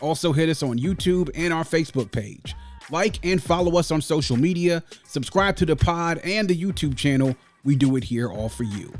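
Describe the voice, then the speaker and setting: A man's voice: a little high, darkish tone. A man hosting a music review podcast, giving opinions in an emphatic, conversational way and repeating phrases for emphasis. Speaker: podcast host.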